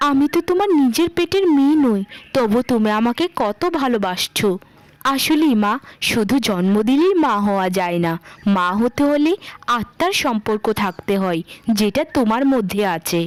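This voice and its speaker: A woman acts as a devoted young village girl in a cartoon drama. The voice is high and dry. The manner is earnest and gentle. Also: lively intonation, moderate pace, no room sound, close to an everyday voice. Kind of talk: melodramatic cartoon dubbing.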